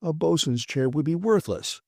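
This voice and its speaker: Man acting an exasperated, raised-pitch maintenance chief. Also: lively intonation, moderate pace, vocal fry, dark tone, no room sound, studio quality. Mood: dismissive, insistent. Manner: blunt, argumentative, emphatic. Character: gruff, exasperated male maintenance chief, blunt and defiant. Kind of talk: dramatised audiobook reading.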